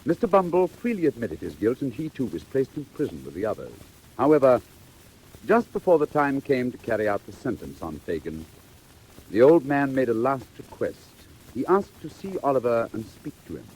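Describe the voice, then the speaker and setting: A man narrating a classic story in a theatrical, measured 1940s storybook style. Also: mid-range voice, very animated, a little mellow, husky, poor audio.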